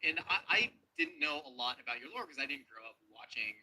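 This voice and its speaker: A man's voice: medium pitch, animated, brisk, bright, forceful tone, a little hiss or hum, rough recording. A man hosting an interview show in a playful, conversational style. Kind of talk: playful scripted intro and casual interview.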